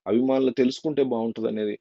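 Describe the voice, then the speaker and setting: A man's voice: mid-range voice, steady tone, rapid-fire, warm tone, muffled recording. A man giving informal, earnest and opinionated spoken news commentary for a video.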